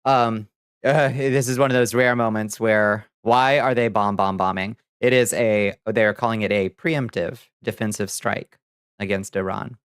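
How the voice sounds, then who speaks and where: A man hosting a livestream, chatting casually with viewers and commenting on news in a halting, profane, muttering way. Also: lowish voice, fairly even intonation, clear, resonant tone, no echo, clean studio sound. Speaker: livestream host reading viewer chat.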